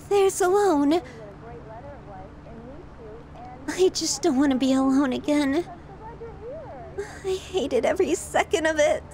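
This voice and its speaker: A woman plays a lovesick young yandere woman in an ASMR audio drama, clinging and possessive. She speaks slowly and intimately to a silent lover, fragile yet quietly menacing. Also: very high voice. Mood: wistful, needy.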